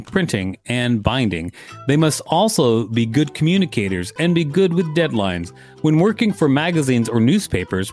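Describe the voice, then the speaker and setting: Man, mid-pitched voice, podcast co-host, article read-aloud, dry humor, music behind. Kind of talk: reading an encyclopedia article aloud with casual banter.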